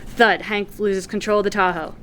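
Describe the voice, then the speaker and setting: A woman's voice: medium pitch, fairly even intonation, normal speed, fairly bright tone. A woman reading out scripted stage directions as narrator at a table read, in a vivid storytelling manner. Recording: faint room noise.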